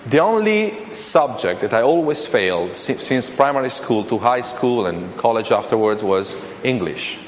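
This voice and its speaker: A man's voice: mid-range voice, lively intonation, normal speed, hardly any pauses. A man from Italy with an Italian accent preaching a sermon as a guest speaker, speaking hesitantly with frequent fillers and restarts. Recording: reverberant hall with faint ambient noise.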